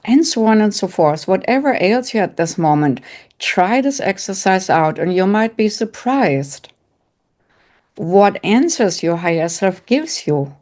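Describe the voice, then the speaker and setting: A middle-aged woman from Germany with a heavy German accent, gently guiding an online audience through a spiritual exercise and answering questions in short, repeated phrases. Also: medium pitch, very animated, measured pace. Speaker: psychic medium and coach, guest speaker.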